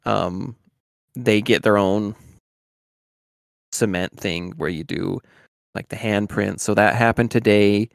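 A man talking casually as a podcast co-host, animated and full of fillers. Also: lowish voice, takes it slowly, vocal fry, pauses often, dry recording, clean studio sound. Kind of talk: casual chat.

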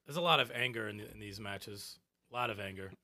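A man co-hosting a video game podcast, chatting casually in an animated way, swearing freely and laughing often. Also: lowish voice, fairly quick. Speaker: podcast co-host. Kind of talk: casual chat.

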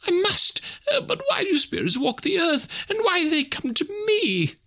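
A man voicing a frightened miserly man in a classic story reading, high, tremulous and imploring. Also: big pitch swings, normal speed, pushed and bright, heavily acted. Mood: terrified. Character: frightened, pleading miserly man facing a ghost.

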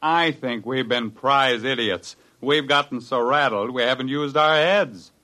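An actor playing a blunt, urgent research scientist in a classic radio drama, speaking emphatically. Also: male voice, medium pitch, a little slow. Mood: determined. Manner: forceful, emphatic, no-nonsense. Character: blunt, urgent male research scientist narrating his predicament.

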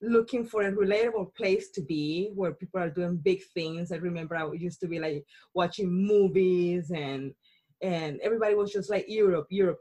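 A woman's voice: on the low side, darkish tone, breathy edge. A woman of about forty from Colombia, a native Spanish speaker with a Colombian accent, talking about her life on a casual podcast. She is bubbly and laughs often. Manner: bubbly, laughs often, chatty with frequent restarts. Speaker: podcast host being interviewed.

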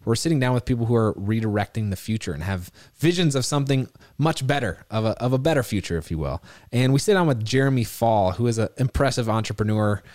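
A young man hosting an interview podcast, introducing his guest and asking friendly, measured questions. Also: mid-range voice, expressive, a little mellow, no echo, studio quality. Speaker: podcast host.

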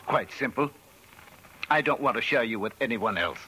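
An actor playing a courteous, earnest, middle-aged gentleman suitor in a 1940s radio drama. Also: mid-range voice, moderate pace, natural voice. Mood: earnest, smitten. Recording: faintly noisy old radio recording.

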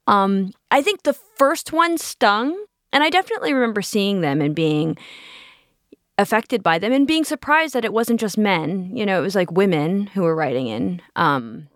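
A woman's voice: medium pitch, expressive, normal speed, no room sound, studio quality. Reflective woman podcast host. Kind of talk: casual studio chat. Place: recording studio. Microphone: studio microphone.

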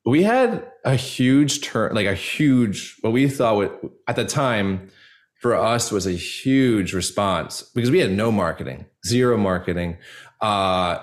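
A man speaking reflectively as a podcast guest, earnest and halting, with a self-deprecating way of talking. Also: on the low side, animated. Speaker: podcast guest, audio drama creator. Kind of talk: reflective interview conversation.

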